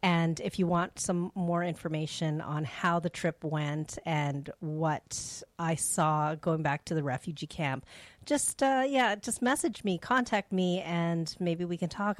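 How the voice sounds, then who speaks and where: Middle-aged woman, lowish voice, reflective. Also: dry recording. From Canada. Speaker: host of a faith radio show. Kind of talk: personal testimony, conversational monologue.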